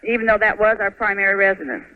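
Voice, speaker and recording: A woman's voice: mid-range voice, fast talker. A middle-aged woman with an American accent casually asking a question as a caller to a radio show. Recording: noisy, tinny phone line on radio.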